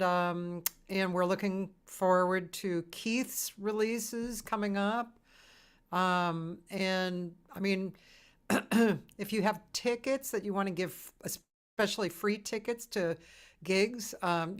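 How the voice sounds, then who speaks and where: A woman hosting a relaxed, casual live interview chat. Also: fairly low pitch, clean tone. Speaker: host.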